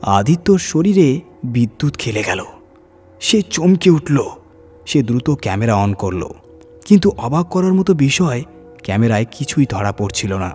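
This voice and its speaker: A man reading a scripted horror story dramatically, with suspenseful pauses and emphasis. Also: mid-range voice, big pitch swings, darkish tone, breathy edge, faint music behind. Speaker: horror story reader and channel host.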